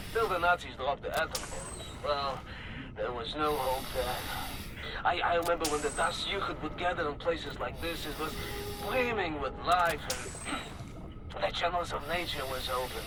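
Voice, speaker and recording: Elderly scholar, high-pitched. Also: male voice, noisy background. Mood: reflective, wistful. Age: older. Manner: halting, repetitive, non-native phrasing. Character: elderly male mystic scholar, gentle, eccentric guardian of ancient secrets. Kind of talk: video game cutscene acting. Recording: echoey hall with music and game effects.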